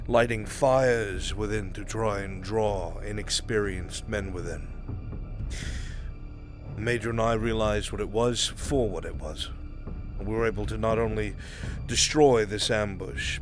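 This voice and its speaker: A deep-ish, dutiful military lord acted by a man in a fantasy roleplay. He speaks formally and with measured courtly respect. Music plays behind him. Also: close to an everyday voice. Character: proud, dutiful military lord reporting to barons.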